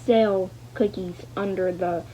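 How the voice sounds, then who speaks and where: Halting, slow female reader, flat intonation. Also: mid-range voice. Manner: hesitant, stumbling over words, repeating fragments; speaks punctuation aloud. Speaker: learner reading a book aloud. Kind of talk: halting read-aloud of a novel. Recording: dull lo-fi sound with faint noise.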